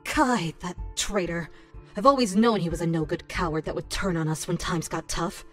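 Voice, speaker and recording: A woman's voice: on the low side, moderate pace, faint music behind, lightly acted. A woman playing a proud, fierce young noblewoman warrior in a medieval fantasy game, firm and commanding. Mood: bitter, resolute.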